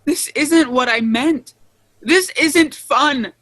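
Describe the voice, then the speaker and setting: A woman acts a distraught young woman behind bars in an audio drama. Her voice is fairly high and slow, recorded dry. She sounds lonely and halting, and anger breaks through the shakiness. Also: fairly bright tone, no echo. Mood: furious, desperate. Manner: halting, shaky delivery with bursts of anger. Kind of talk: audio play acting over online stage reading.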